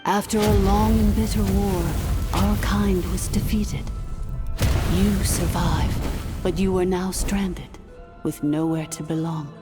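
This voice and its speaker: A woman playing a calm, imperious ancient dragon-born artificial intelligence in a video game, speaking formally and with measured stateliness. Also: lowish voice. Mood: solemn. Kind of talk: scripted game dialogue, solemn exposition. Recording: long hall-like reverb, music underneath.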